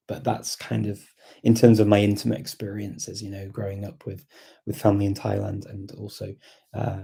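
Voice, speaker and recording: A man talks casually to a livestream, in a very mellow, dark voice with some gravel in it. He is reflective and unhurried in his thinking, circling back on words as he works out what he means. His pitch sits a little on the low side. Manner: hesitant, reflective, repeats words while thinking. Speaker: livestream host and language learner. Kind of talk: casual live chat, thinking aloud.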